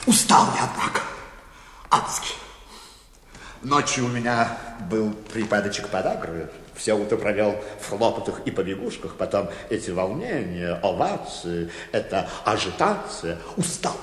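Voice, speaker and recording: A man's voice: lowish voice, big pitch swings, measured pace, fairly bright tone, a little hiss or hum. An actor playing a vain, self-pleased bank chairman in a radio play, pompous and fussily familiar in a theatrical way. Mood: self-satisfied, grandiose. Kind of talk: theatrical Russian radio drama acting. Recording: echoey hall with long reverb.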